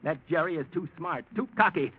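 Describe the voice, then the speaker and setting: A crotchety old patriarch in a vintage radio play. His voice sits fairly high for a man, blunt and accusing. The sound is dull and muffled like an old broadcast. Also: a little fast, breathy edge. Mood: angry, suspicious. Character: sour, suspicious old grandfather, domineering and sharp-tongued. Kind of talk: 1940s radio drama acting.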